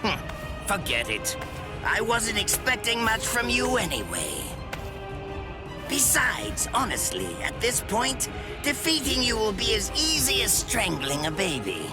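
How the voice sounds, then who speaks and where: An actor playing a gleefully sadistic mad-scientist captain in an anime game, theatrical and mock-polite with condescension. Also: male voice, high-pitched, huge pitch swings, a little slow, heavily acted. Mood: cruel amusement. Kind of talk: anime video game voice acting. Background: music.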